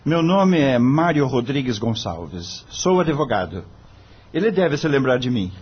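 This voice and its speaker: Audio drama actor, male: polite young Brazilian lawyer, animated pitch, muffled lo-fi sound. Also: a little slow, husky. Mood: polite, respectful. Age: young adult. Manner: courteous, deferential. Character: earnest, polite young lawyer, devoted son.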